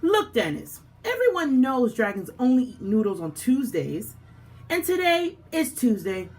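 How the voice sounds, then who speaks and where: A woman's voice: fairly high pitch, big pitch swings, a little slow, darkish tone, faint music behind. A woman voicing a brave, smart, bossy young damsel in a picture book read-aloud. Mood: explaining.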